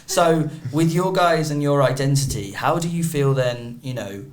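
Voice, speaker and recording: A man hosting a casual interview chat, lively and probing with his follow-up questions. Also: fairly high pitch, moderate pace. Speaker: podcast host.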